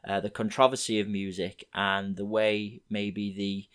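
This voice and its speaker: A young man in his twenties from England, hosting a podcast and talking through a rambling solo intro in a slightly croaky voice. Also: lowish voice, unhurried. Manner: relaxed, rambling, with frequent fillers. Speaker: podcast host.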